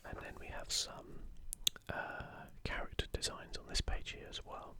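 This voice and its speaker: An ASMR host whispering a commentary in a halting, musing, unscripted way.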